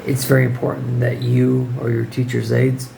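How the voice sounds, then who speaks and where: A hoarse man with a deeply gravelly, fry-heavy voice talks through classroom tips in a loose vlog style. He sounds firm and direct but informal, and his voice sounds strained and worn as it fades. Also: completely flat delivery, normal speed, warm tone, a little hiss or hum, low-quality recording. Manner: informal, firm, checks in with "okay?". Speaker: English teacher. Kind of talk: casual advice vlog.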